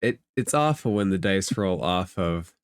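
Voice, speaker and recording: A man's voice: low voice, animated, moderate pace, clean tone, no room sound. A man working as game master, narrating a tabletop game off the cuff in a conversational, explanatory way.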